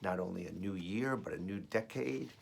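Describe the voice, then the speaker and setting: Raspy, low-voiced man. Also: animated, moderate pace. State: voice rough while recovering from feeling unwell. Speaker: host of a weekly spiritual message. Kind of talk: devotional reading and personal reflection.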